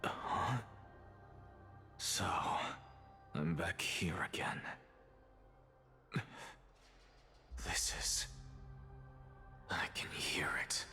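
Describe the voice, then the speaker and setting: Deep, breathy scholar, slow and cerebral. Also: male voice, sharp tone, heavily acted. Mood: wondering. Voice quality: low, breathy, whispery. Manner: deliberate, theatrical, musing. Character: arrogant, cerebral young male scholar seeking truth. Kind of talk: dramatic video game monologue. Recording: music behind the voice.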